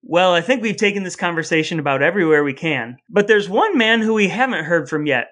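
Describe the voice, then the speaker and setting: A man's voice: high-pitched, animated, fairly quick, fairly bright tone. Upbeat man reading a podcast sign-off. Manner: upbeat announcer-style sign-off. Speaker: podcast co-host. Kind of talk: casual chat and scripted outro.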